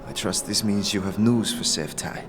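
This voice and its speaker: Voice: sits low, moderate pace, soft background music, close to an everyday voice. A performer voicing a solemn, cryptic, vengeful woman in a fantasy audio drama, with formal archaic phrasing. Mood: solemn, satisfied.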